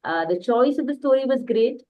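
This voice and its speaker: A woman's voice: on the high side, expressive, moderate pace, dark tone. A woman from an audience giving casual spoken feedback. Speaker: audience member. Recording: dull, lo-fi sound.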